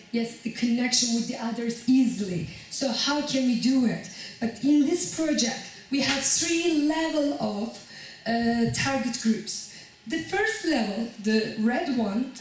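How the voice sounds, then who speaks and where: A woman giving a conference presentation on a project, talking in a halting way as she searches for her words. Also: high voice, a little hiss or hum. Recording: very echoey hall, voice from far off.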